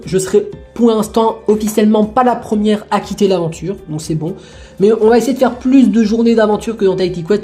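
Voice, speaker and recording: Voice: mid-range voice, mellow, natural voice. A performer voicing a determined forty-something woman in a homemade toy-figure adventure show, talking in a rambling way and correcting herself. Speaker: reality-show contestant.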